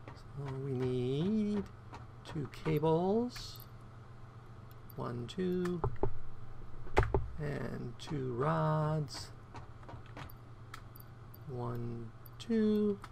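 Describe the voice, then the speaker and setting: A man giving casual gameplay commentary on his own play, a bit croaky today, counting items aloud and humming to himself. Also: on the high side, animated, drawn-out words, pauses often, noisy background. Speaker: game streamer.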